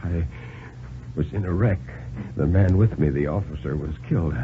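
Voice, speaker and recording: A man's voice: low-pitched, phone-quality sound, noisy background, voice pushed down, natural voice. A man playing an exhausted, desperate, well-spoken banker who halts and pleads, in a 1950s radio reading. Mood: weary, faltering. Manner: halting, faltering, pleading.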